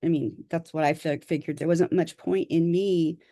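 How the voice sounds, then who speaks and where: A woman from Southern California, an author introducing herself on a video call, speaking modestly and haltingly with fillers. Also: sits low, steady tone, warm tone, no room sound, clean studio sound. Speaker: author presenting a workshop.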